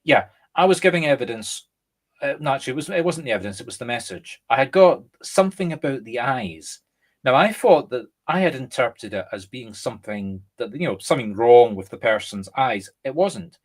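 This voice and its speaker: A Scottish man, a medium and co-host, chatting casually and explaining through lively examples. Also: mid-range voice, fairly quick. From Scotland. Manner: animated, explains through examples and acted-out quotes. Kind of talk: casual conversation.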